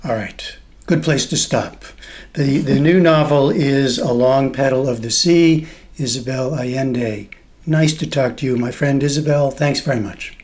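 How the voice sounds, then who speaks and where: A man's voice: medium pitch, a little mellow. A man interviewing a guest in a friendly, conversational way, feeling his way through his questions. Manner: friendly, searching, halting questions.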